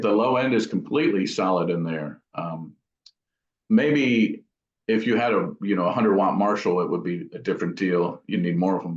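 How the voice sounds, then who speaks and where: A man with a fairly low voice talks as an interview guest. His explanations are casual and wander a bit. The sound is dull and carries noticeable room echo. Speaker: guitar amp builder and guest. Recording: dull, roomy, echoing audio.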